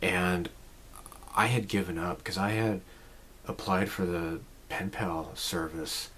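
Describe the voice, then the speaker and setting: Slow, low-voiced man musing in his forties. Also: fairly even intonation, fairly bright tone, a little hiss or hum. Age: 40s. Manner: rambling, reflective, many fillers and restarts. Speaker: channel host. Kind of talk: casual personal vlog talk.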